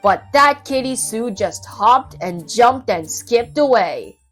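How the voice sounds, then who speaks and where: A woman narrates a children's story in a fairly high, very lively voice. She is dramatic and playful, taking her time with the rhythm. Prominent children's music plays behind her. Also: takes it slowly. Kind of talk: expressive children's read-aloud narration.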